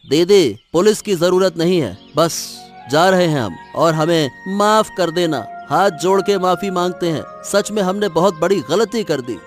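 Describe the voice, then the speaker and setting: Man acting high-voiced freeloading brother, slow-spoken. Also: expressive, faint music behind. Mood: apologetic. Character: sulky, then apologetic freeloading brother. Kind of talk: cartoon moral story dialogue.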